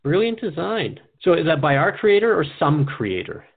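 A man's voice: on the high side, sing-song, moderate pace, gravelly, husky, dry recording. A man speaking as a radio host in a conversational drama dialogue, reflective and earnest. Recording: dry, narrow phone-like sound.

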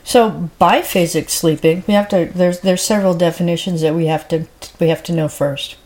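Female podcast host, a bit low-pitched, stuffy from a cold, casual and rambling with plenty of ums and uhs. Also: normal speed, darkish tone. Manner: chatty, rambling with frequent fillers. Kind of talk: casual solo podcast chat.

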